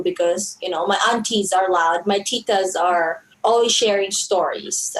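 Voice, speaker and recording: A Filipino woman with an accent, chatting casually as a mother, rambling with many fillers and restarts. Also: medium pitch. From Philippines. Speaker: podcast guest, mother. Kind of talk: casual chat. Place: video call from home. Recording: echoey room with faint background noise.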